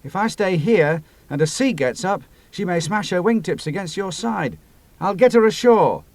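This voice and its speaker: A man's voice: high-pitched, expressive, normal speed, darkish tone, no room sound, pitched above the performer's own voice. A man voicing an eager young male pilot and mechanic who replies smartly.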